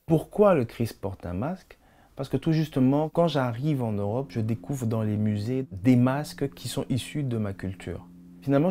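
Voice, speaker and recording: A man from Congo speaks thoughtfully about his work in a documentary interview. His voice sits at an ordinary pitch with a dark, mellow tone. He is recorded in an art studio on a lapel mic. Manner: reflective, expressive hand gestures. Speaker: visual artist.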